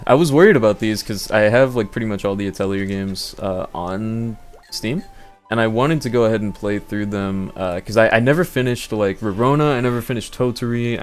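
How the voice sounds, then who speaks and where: Guy with a low voice casually showing off games, thinking out loud, some music behind him. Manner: rambling, thinking aloud. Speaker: gaming video host. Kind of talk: casual unscripted gameplay walkthrough. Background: background game music.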